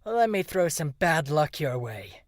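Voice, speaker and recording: Man playing a fed-up, swaggering uncle, highish voice. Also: big pitch swings, normal speed, no echo, studio quality. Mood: menacing. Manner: taunting, swaggering. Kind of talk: fan audio drama acting.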